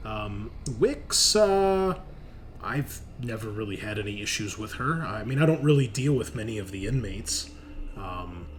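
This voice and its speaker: A man voicing a hesitant, helpful spaceship crewman in a tabletop game, speaking haltingly and unsurely. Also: on the low side, animated, a little hiss or hum. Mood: nervous, halting.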